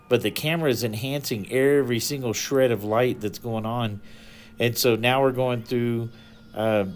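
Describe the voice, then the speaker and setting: A man giving a casual, unscripted, matter-of-fact explanation as a hobby radio vlogger, speaking haltingly. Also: mid-range voice, a little hiss or hum.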